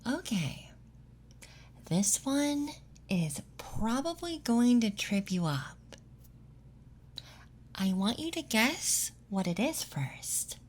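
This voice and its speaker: ASMR roleplay woman, gentle teasing half-whisper, very unhurried, close-miked over clearly noisy ambience. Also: fairly high pitch, sing-song. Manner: intimate, gentle, teasing, speaking directly to the listener. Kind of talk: ASMR roleplay, soft speech mixed with whispering. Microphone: close ASMR microphone.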